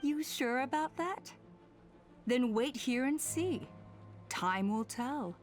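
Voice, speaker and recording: A calculating female villain from a video game, speaks with measured condescension and an air of command. Her voice sits a little high, with music playing behind it. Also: expressive. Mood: smug, persuasive. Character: calculating woman clan faction leader, coolly menacing villain. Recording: game dialogue over background music.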